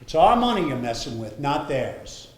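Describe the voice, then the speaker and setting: A man's voice: medium pitch, sing-song, normal speed, lightly acted. A man playing a skeptical, exasperated working husband in a stage play, arguing pointedly. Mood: resentful. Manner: argumentative, pointed. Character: skeptical, exasperated older working husband guarding his money. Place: indoor theatre stage. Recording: echoey theatre stage.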